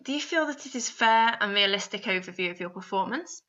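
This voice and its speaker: A woman's voice: mid-range voice, animated, fairly quick, sharp tone. A woman narrating a scripted instructional guide, speaking straight to the listener and often posing guiding questions. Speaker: instructor narrating a guidance video. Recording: slightly dull, lo-fi voice-over.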